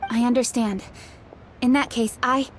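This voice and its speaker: An actress playing a composed, decisive young female official in a video game, speaking in a brisk, formal, articulate manner. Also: on the high side, fairly even intonation, slight background noise, close to an everyday voice. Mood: businesslike.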